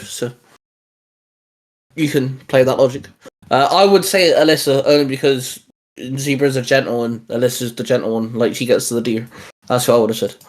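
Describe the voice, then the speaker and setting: A man hosting a casual fan discussion in a chatty, informal way. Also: medium pitch. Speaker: host.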